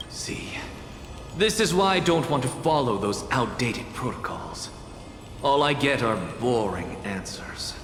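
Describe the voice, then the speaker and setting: A man's voice: medium pitch, a bit bright. An actor playing an exacting, pompous male professor in a video game, speaking with withering condescension. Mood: disdainful. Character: haughty, exacting male professor and renowned scholar. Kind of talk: dramatic video game voice acting. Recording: echoey long reverb with music behind.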